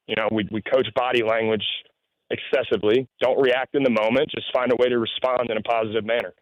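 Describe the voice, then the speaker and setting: A man who coaches high school football, explaining things in spontaneous interview answers and often saying "you know". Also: no echo. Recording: dull lo-fi radio audio.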